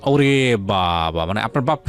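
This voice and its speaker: A man's voice: on the low side, lively intonation, unhurried. A man with a Bangladeshi accent hosting an interview, prompting a guest with short questions and acknowledgements. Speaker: radio show host. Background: music.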